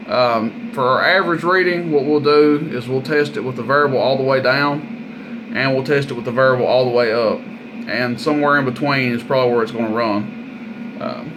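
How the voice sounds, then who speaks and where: A man's voice: medium pitch, background noise. A man giving a casual, hands-on radio equipment demonstration in a plain-spoken, folksy, informal way. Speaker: product demonstrator and seller.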